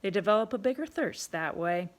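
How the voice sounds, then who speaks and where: A woman's voice: mid-range voice, lively intonation, fairly quick, close to an everyday voice. A woman doing a brusque, coarse pub owner. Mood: gruff, bossy. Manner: rough, ungrammatical talk, bossy.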